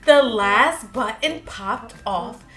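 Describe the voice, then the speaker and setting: Woman, storybook read-aloud, slow pace, very lively pitch, playful questioning, singsong refrains, light music. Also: echoey room. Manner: playful, animated, prompting with questions. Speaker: host reading a children's picture book aloud to a child.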